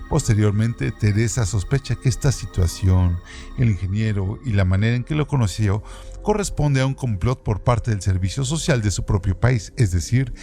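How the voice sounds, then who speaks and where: A man presenting a radio program, reading literary trivia from a script in a measured, even way. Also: on the low side, creaky. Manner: measured, reads evenly from a script. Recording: music bed under voice. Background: background music.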